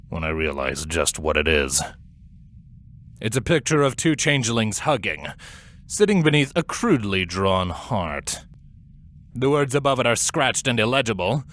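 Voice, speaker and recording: A man playing a smug, gruff older-brother changeling, a sardonic insect creature, narrating his story in a dry, taunting way. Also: low voice, fairly bright tone. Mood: pensive. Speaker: first-person narrator and character. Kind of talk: dramatic first-person story narration.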